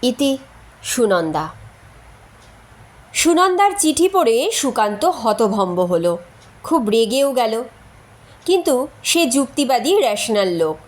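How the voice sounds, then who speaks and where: A woman's voice: on the high side, very animated, unhurried. A woman reading a comic short story aloud as scripted narration.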